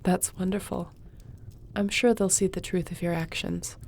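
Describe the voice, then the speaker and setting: A woman voicing a court sorceress in a scripted audio roleplay, speaking gently and conversationally. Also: fairly low pitch, level tone, moderate pace. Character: warm, loyal female court sorceress.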